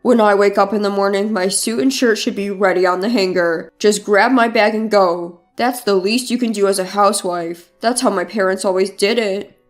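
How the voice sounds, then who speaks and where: A woman's voice: medium pitch, normal speed, faint music behind, pitched below the performer's own voice, close to an everyday voice. A woman narrator voicing a domineering, demanding husband in a story, with a bossy, lecturing manner.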